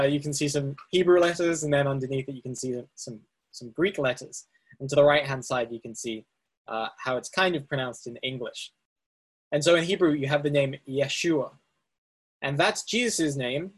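A man's voice: medium pitch, husky, low-quality recording. A man teaching a Bible study session, explaining step by step with fillers and restarts, speaking to slides on a screen. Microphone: presentation recording with on-screen slides.

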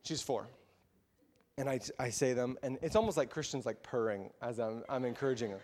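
A man preaching a sermon, telling personal stories in a lively way and acting out what people said. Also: a little fast. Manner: animated, acts out quotes with big pitch jumps. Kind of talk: storytelling sermon. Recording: long hall reverb.

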